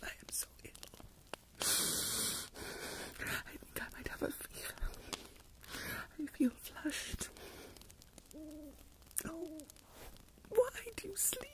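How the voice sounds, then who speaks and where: A woman's voice: extremely high pitch, sing-song, slow, long pauses, exaggerated voice. A woman playing a fretful, melodramatic older Regency mother in a whispered ASMR roleplay, self-pitying and full of dramatic sighs, close to the mic. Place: indoors, lying in bed.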